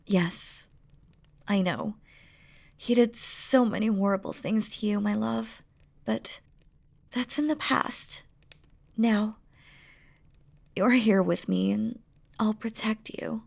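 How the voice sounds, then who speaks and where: A woman's voice: medium pitch, slow pace, vocal fry, long pauses, darkish tone. A woman playing a doting, playful demon queen in an intimate romantic audio roleplay, speaking tenderly and teasingly in formal, regal phrasing. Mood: amused, protective, then tender, hushed.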